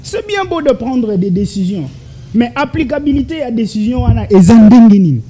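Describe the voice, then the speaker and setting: A man's voice: extremely high pitch, very animated, normal speed, mellow, slight background noise. A Congolese man with a Congolese French accent, a political analyst answering interview questions with rhetorical questions and stammered repeats.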